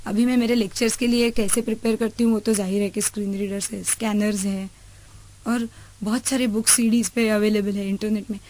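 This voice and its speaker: A woman's voice: medium pitch, flat delivery, brisk, darkish tone. A middle-aged woman with an Indian accent answering in an interview, explaining with repeats and rephrasing. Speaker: college lecturer, interview guest. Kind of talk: conversational interview answer, Hindi mixed with English.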